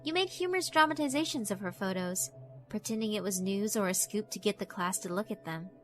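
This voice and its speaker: A woman's voice: medium pitch, brisk, a bit bright, close to an everyday voice. Woman voicing a taunting, sinister yokai over music. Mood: cold, mocking. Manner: theatrical, mock-polite, taunting rhetorical questions. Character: sinister, taunting female school yokai, cruelly amused. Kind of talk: dramatic narration of a horror visual novel.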